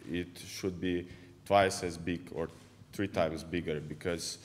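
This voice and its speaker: A man from Slovenia, a film director speaking on a panel in a measured, earnest way. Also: deep voice, steady tone. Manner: measured, earnest, with small restarts. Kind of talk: panel talk. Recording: hall reverb.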